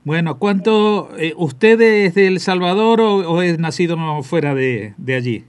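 A man's voice: high-pitched, lively intonation, measured pace, clear, resonant tone. A man hosting a radio program and interviewing a guest, conversational, with some hesitations. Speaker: radio host.

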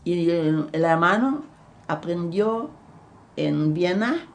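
An elderly woman with a German accent, recalling her past in an interview, halting and repeating words as she searches for them. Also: on the low side, expressive, creaky. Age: older. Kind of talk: recounting memories in an interview.

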